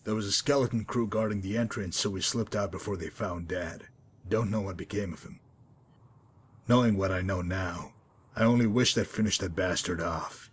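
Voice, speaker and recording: A man playing a calm, menacing hitman with a bitter past in a video game, speaking in a measured, deliberate, coolly condescending way. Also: low-pitched, a little hiss or hum, natural voice. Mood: bitter, reflective. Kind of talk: dramatic video game mod monologue and dialogue.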